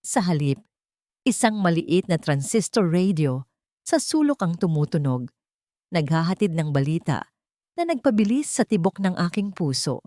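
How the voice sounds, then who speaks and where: A woman narrates a dramatic story in a fairly low register. She reads scripted first-person prose as a storyteller, and the sound is very dry, with hardly any room around it. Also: very animated, normal speed, dark tone, no echo.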